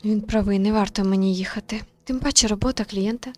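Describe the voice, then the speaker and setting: A woman reading a tired, reserved young working woman in a visual novel, quiet, polite and hesitant. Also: mid-range voice, fairly even intonation, quick pace, faint music behind, close to an everyday voice. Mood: withdrawn.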